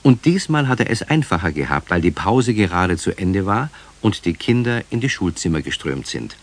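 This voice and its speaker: An actor playing a calm male storyteller, narrating a scripted children's radio play. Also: deep voice, moderate pace, full character voice.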